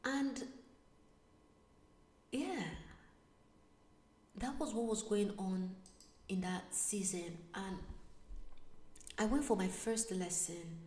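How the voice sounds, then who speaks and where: A woman vlogger telling a personal story in a casual, animated way, sometimes dropping to a whisper. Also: medium pitch, takes it slowly, long pauses, far from the mic. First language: not Italian. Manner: animated, drops to a whisper for asides. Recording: echoey room, voice away from mic.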